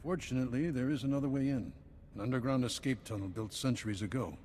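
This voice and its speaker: Game cast: man as a steady Jedi master, solemn delivery, long reverb. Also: medium pitch, fast talker, a little hiss or hum, close to an everyday voice. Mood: calm. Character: wise male Jedi master, calm and steady. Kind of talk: game voice acting.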